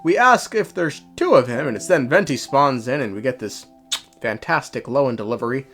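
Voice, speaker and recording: A man's voice: medium pitch, expressive, brisk, fairly bright tone, soft background music. A man giving a casual, irreverent game story recap with sweary, sardonic asides.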